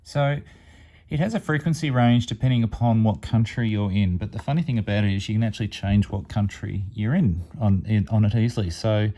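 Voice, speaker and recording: A man's voice: on the low side, a little fast, mellow. A man giving a casual, plain-spoken hands-on product demonstration as a hobbyist reviewer. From Australia.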